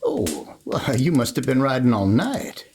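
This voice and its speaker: A man's voice: medium pitch, huge pitch swings, measured pace, darkish tone, slight background noise. A man playing an easygoing, kindly Texas cowboy with a hesitant, rambling folksy manner in a Western radio play. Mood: friendly. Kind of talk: old-time Western radio play acting. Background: plucked guitar music.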